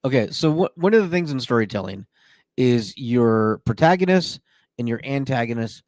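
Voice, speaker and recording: A man's voice: medium pitch, animated, normal speed, a little mellow, no room sound, studio quality. A man hosting a podcast, chatting casually and joking, with frequent fillers. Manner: playful, jokey, many fillers. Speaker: podcast host. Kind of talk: casual chat.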